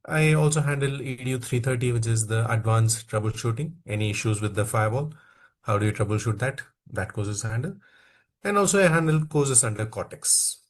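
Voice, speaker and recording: Man from India, trainer, mid-range pitch, steady explanatory delivery, frequent "okay" and "uh". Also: fairly quick. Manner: repeats "okay" and "uh" between phrases, coaxing participants. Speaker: instructor. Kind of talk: online training class introduction.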